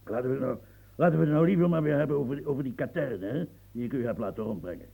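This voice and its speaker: An actor playing a proud, blustering old marshal in a radio play, gravelly and coughing, swinging from mumbles to outbursts. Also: male voice, medium pitch, fast talker, narrow, tinny audio. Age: older.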